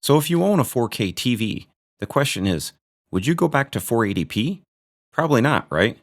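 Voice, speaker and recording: A man's voice: mid-range voice, big pitch swings, moderate pace. A man hosting a gear review video, narrating from a script with dry, joking asides.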